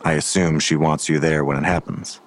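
A man's voice: very deep voice, steady tone, moderate pace. An actor playing a blunt, world-weary father in an audio drama, dry and clipped in delivery. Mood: dry, resigned.

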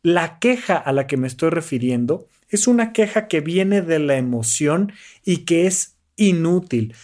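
A man's voice: a little high, expressive, a little slow, a little mellow. A man with a Mexican accent giving an earnest, emphatic motivational talk as a life coach. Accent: Mexican Spanish. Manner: earnest, emphatic, mimics the people he critiques.

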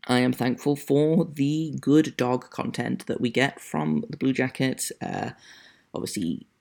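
A man's voice: mid-range voice, a little slow, vocal fry, darkish tone. A man hosting a solo sports podcast in a casual, dry and wry way, with an accent that is not American. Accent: non-American. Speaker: podcast host.

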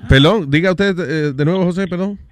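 A man's voice: high voice, brisk, dry recording. A man hosting a casual radio show, chatting in Spanish mixed with English and teasing as he goes. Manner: joking, teasing. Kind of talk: lively bilingual casual chat.

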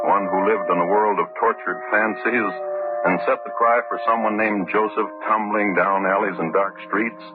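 A muffled old radio recording of a man as a grave crime storyteller. His low voice delivers literary lines deliberately, with music underneath. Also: lightly acted. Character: grave, measured male true-crime storyteller. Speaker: narrator. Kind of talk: scripted old-time radio narration.

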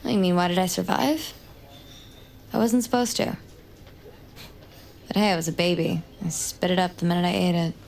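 A woman's voice: lowish voice, fairly even intonation, moderate pace, gravelly, long pauses, darkish tone, slight background noise. An actress playing an intense young woman in an audio drama, glib and socially blunt, with naturalistic delivery. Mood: glib, insistent. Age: young adult. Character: glib, intense young woman, awkward true-crime survivor.

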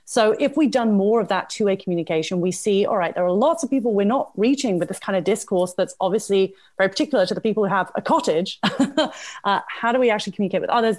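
Brief: quick, articulate British woman, panel guest on a video call, laughs lightly between points. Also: medium pitch, darkish tone. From United Kingdom. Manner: brisk, articulate, laughing asides. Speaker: panelist on public communication.

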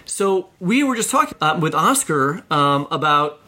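A man's voice: fairly high pitch, very animated, measured pace, pushed and bright. A middle-aged man co-hosting a podcast, interviewing a guest in a casual, conversational way. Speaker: podcast co-host.

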